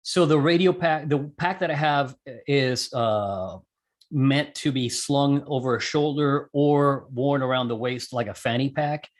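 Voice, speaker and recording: A man's voice: medium pitch, measured pace. Man casually explaining technical steps. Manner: conversational, step-by-step explaining with self-corrections. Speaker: interview guest, amateur radio operator and skydiver.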